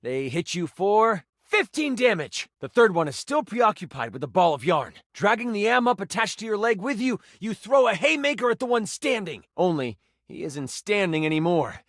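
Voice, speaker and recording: A man's voice: high-pitched, sing-song, moderate pace, hardly any pauses, fairly bright tone, no echo, studio quality, full character voice. An actor playing a game master, a man narrating a fantasy quest in a theatrical, suspenseful and emphatic way. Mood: dramatic, excited.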